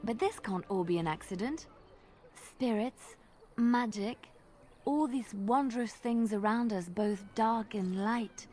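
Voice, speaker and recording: An actress playing an earnest, devout young woman with a gentle, sincere way of speaking. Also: on the high side, slow, soft background music.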